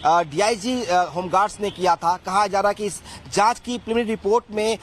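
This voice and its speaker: A man's voice: high-pitched, brisk, slight background noise. A man delivering a television field news report as a reporter.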